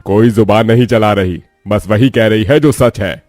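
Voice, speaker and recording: A man voices an elderly father-in-law in a family tale. He sounds low and plain-spoken, kindly and protective. The recording is dry, with faint music behind. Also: normal speed, a little mellow, dry recording, natural voice. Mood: firm. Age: older.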